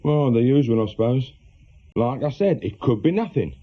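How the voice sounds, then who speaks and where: An actor playing an awkward middle-aged reformed small-time crook with an English accent, a worried husband in a British radio drama, husky and hesitant. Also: male voice, mid-range voice, lively intonation, normal speed, close to an everyday voice. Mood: uneasy, worried. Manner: hesitant, colloquial, defensive. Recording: muffled, lo-fi radio drama recording.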